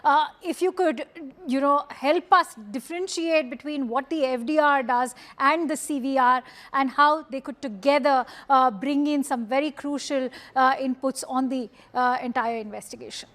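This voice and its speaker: A woman news anchor with an Indian accent and a high voice. She presents live news earnestly, choosing her phrases with deliberation. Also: moderate pace, hardly any pauses, a bit bright.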